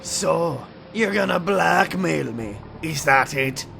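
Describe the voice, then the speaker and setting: A man playing a gruff, confrontational, indignant pirate in a fantasy audio drama. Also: on the high side, expressive, slow, background noise, exaggerated voice. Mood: angry, cornered. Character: gruff, indignant male pirate turned recruiter, cornered.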